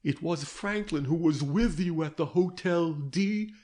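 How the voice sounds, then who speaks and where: A stern and patient old detective from a period mystery, pressing each question with grave insistence, as a male reader puts him on. He speaks slowly and deliberately, a little raised above the reader's own tone. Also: on the high side, a little mellow, pitched above the performer's own voice, natural voice.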